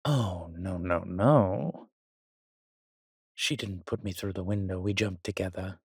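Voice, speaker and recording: Man acting a slow, husky, roguish old vampire. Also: low-pitched, no echo, clean studio sound, heavily acted. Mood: amused, nostalgic. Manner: theatrical, archaic turns of phrase, teasing. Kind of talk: intimate roleplay audio drama.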